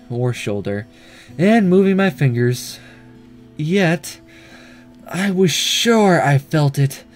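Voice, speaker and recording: Visual novel role: gentle ghost schoolgirl in love male reader, slightly high, tender and apologetic, faint music behind. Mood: tender, calm. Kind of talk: visual novel inner monologue read aloud.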